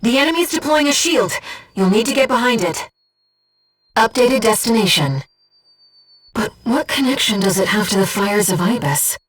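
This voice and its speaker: A woman voicing a disembodied female guide who speaks in a pilot's head as mission operator in a video game, with a soft, breathy voice and a precise, earnest delivery. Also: on the high side, expressive, normal speed, pauses often, far from the mic. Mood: urgent, alert. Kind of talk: scripted video game voice lines, mission briefings and battle callouts.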